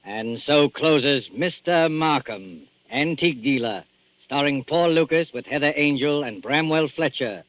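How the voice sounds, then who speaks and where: Old time radio announcer guy, slightly high voice, formal n dramatic, muffled, music behind. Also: animated, unhurried, noisy background. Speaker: announcer and narrator of radio suspense series. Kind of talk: 1940s radio announcing, scripted. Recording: dull 1940s radio recording over music.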